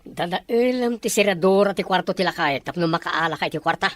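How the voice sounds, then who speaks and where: A woman's voice: on the low side, brisk, lightly acted. A woman voicing a vain, money-hungry male lover with a cocky, wheedling manner in an audio drama. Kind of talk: Ilocano audio drama acting.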